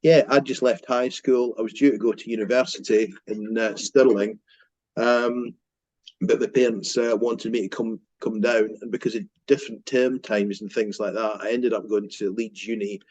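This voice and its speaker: A man's voice: fairly low pitch, steady tone, normal speed, a little mellow, dry recording, low-quality recording. A Scottish man in his fifties, a mortgage broker telling his career story in an interview, with frequent ums and restarts. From Scotland. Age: 50s. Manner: hesitant, many fillers and restarts. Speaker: mortgage broker, company director. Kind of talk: business interview, casual storytelling.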